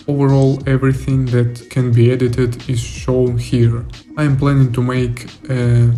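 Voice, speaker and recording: A man's voice: medium pitch, mellow. A young man reading scripted devlog narration in a plain, matter-of-fact way, explaining his work. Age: young adult. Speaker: indie game developer narrating a devlog. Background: music.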